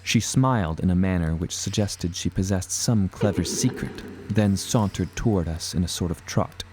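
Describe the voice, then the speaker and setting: A man narrating a scripted first-person adventure story in a measured, dramatic way. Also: low-pitched, darkish tone, faint music behind, rough recording.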